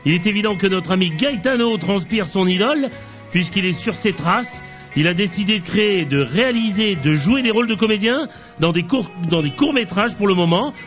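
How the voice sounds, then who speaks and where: A man hosting a radio interview, talking slowly with stumbles and repeated words. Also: high-pitched, lively intonation, a bit bright, highs cut off, faint music behind. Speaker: radio host.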